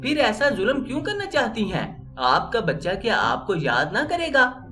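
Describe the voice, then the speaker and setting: Woman performing a level-headed young sister in story narration: cautious, questioning, varied pitch, light music behind. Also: wildly swooping pitch, normal speed, bright, forceful tone, exaggerated voice. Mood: protesting. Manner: questioning, reasoning.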